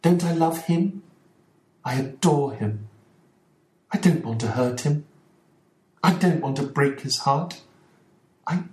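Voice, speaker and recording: A man reading an audiobook voices an ill, despairing wife, lifting his pitch above his own. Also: a little mellow. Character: ill married woman, despairing and resolute. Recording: roomy echo.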